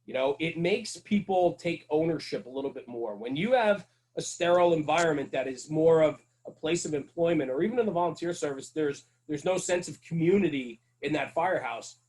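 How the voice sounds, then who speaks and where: A man's voice: on the high side, brisk, hardly any pauses, a little mellow, poor audio. A man speaking as a podcast guest, telling stories conversationally in an earnest, emphatic way with rhetorical check-ins. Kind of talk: conversational storytelling about firehouse culture.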